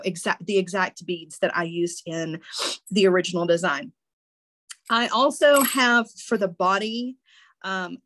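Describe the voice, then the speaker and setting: A woman's voice: medium pitch, fairly bright tone. Congested woman teaching a craft class. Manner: friendly and chatty, with frequent ums and restarts. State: congested, voice a little weak. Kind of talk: casual step-by-step instruction.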